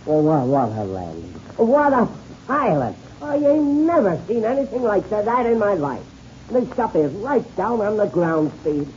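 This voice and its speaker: Muffled old radio drama, a stammering folksy american airman, jittery and dark-toned, pitch jumping around. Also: very animated, moderate pace, slight background noise. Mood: relieved amazement. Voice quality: stammering delivery. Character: excitable folksy airman sidekick, easily rattled. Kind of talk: 1930s radio serial acting.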